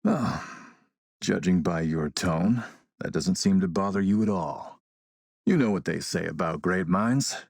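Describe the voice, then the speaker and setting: An actor playing a ruthless middle-aged warlord in a video game, speaking in a measured, courtly, menacing way. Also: male voice, deep voice, creaky, darkish tone, dry recording, heavily acted. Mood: suave, wry. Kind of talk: video game character voice acting.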